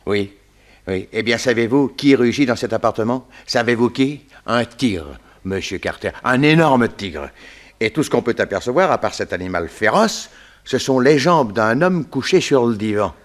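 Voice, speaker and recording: An actor playing a fussy, excitable, garrulous coroner in a French radio play, full of theatrical asides. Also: male voice, medium pitch, moderate pace, lightly acted. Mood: dramatic, indignant.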